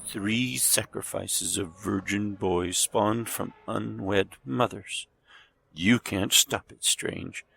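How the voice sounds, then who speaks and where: Fanatical villain doctor for radio drama: very gravelly and husky, pompous, menacing, devoted servant of dark powers. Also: male voice, lowish voice, fairly even intonation, slow pace, heavily acted. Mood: gloating, fanatical. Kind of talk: audio drama acting.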